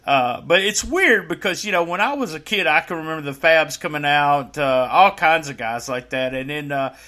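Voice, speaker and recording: Mężczyzna w średnim wieku, prowadzący podcast, jasny, dość wysoki głos, gada chaotycznie z żartami. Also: male voice, on the high side, animated, normal speed, bright, forceful tone. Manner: rambling, lots of uh fillers, joking. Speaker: podcast host. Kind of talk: casual interview chat.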